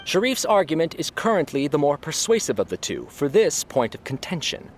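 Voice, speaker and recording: A man voicing an impartial, legalistic arbiter in a video game, speaking in a measured, formal way. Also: fairly high pitch, sing-song, soft background music.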